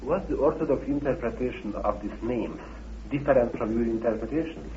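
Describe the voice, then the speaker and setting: A man's voice: mid-range voice, brisk, dark tone. A man asking questions as an interviewer, on an old recording. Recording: muffled, noisy, humming old recording.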